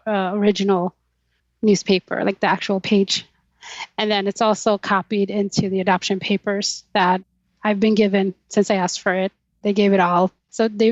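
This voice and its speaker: A woman's voice: medium pitch, a little mellow, dry recording. Reflective Swedish-born woman, muffled dry audio. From Sweden. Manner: reflective, thoughtful, with light laughs. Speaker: podcast guest. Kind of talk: candid personal interview.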